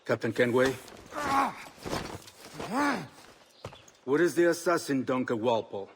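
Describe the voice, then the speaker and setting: A man playing the stern, solemn leader of an assassin order in a video game, formal and measured. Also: medium pitch, husky, natural voice. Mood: stern, accusing. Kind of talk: dramatic video game acting.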